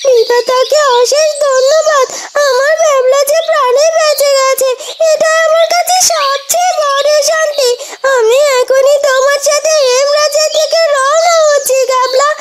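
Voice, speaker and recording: A woman's voice: very high voice, fairly even intonation, a little slow, background noise. A woman voicing an anxious, devoted young village woman in a cartoon, pleading and emotional. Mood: relieved, restless.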